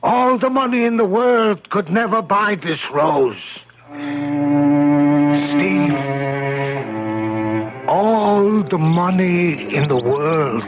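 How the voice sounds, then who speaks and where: A brooding old immigrant father, slow and heavy in his speech. He sounds grave and stubborn. The recording is a dull, lo-fi old radio broadcast with music behind him. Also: high-pitched, expressive, exaggerated voice. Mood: impassioned. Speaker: narrator and lead character. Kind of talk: 1970s radio drama acting.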